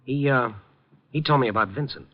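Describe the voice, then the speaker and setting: An actor playing a cool, world-weary reporter in a 1950s radio drama, wry, laconic and questioning. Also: male voice, mid-range voice, fairly quick, lightly acted. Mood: cool, pressing. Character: cool, probing, world-weary newspaper reporter, adult man. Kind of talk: 1950s radio drama acting and first-person narration. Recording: muffled vintage 1950 radio recording.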